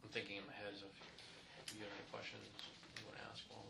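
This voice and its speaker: Guy running an interview, quick and flat, low voice, echoey noisy room. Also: vocal fry. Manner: measured, procedural questioning. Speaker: investigator conducting the interview. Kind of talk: formal investigative interview.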